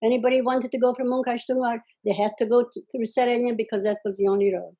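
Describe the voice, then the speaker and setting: Elderly woman, husky. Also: fairly high pitch, steady tone, quick pace, dark tone. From Czechoslovakia. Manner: plain-spoken, tags sentences with "okay?". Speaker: guest. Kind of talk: conversational testimony.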